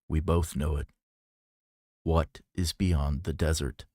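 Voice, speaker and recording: A man's voice: a bass voice, slow pace, no echo, studio quality. A man voicing a grim, relentless gunslinger in an audiobook, terse and coldly menacing. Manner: terse, flat demands.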